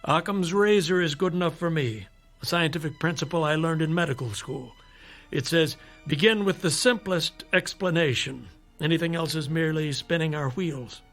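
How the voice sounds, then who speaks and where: Persuasive, skeptical doctor, higher-pitched male voice. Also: a bit bright, faint music behind. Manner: measured, patronizing, rhetorical persuasion. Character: male doctor, persuasive, skeptical, fatherly toward his patient. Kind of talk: radio drama acting.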